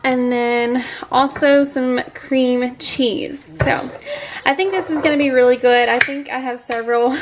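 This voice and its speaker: A young adult woman, casually narrating her home cooking in a chatty, informal way. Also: sits high. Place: home kitchen, indoors. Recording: clattering kitchen noise.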